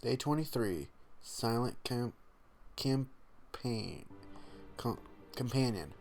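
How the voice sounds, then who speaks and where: A man reacts casually to what he watches. He talks slowly at a medium pitch, stumbling as he reads aloud, with faint music in the background. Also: animated, gravelly, darkish tone. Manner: halting, stumbles when reading aloud. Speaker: reaction video host.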